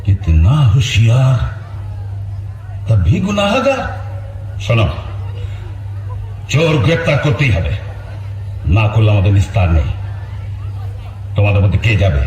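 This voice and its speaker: An actor playing a boisterous bandit chief in an amateur stage dance drama, with a swooping, declamatory delivery. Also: male voice, fairly low pitch, wildly swooping pitch, measured pace, pauses often, a little mellow, recorded from a distance, slight background noise. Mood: commanding. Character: boisterous bandit chief, adult male, blustering. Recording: echoey hall, faint crowd and music.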